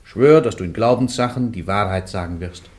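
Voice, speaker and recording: A man's voice: on the low side, normal speed, lightly acted. An actor playing a stern male church interrogator who questions a prisoner insistently in a radio drama. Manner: insistent, rising to sharp accusations.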